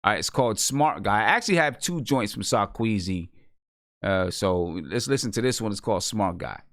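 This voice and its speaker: A man's voice: on the low side, expressive, a little fast, fairly bright tone. A man hosting a casual live music review chat, talking informally. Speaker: host of a music review show.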